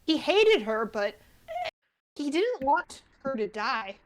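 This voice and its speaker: A woman's voice: high-pitched, sing-song, slow, slight background noise. A woman giving casual live reaction commentary as a streamer.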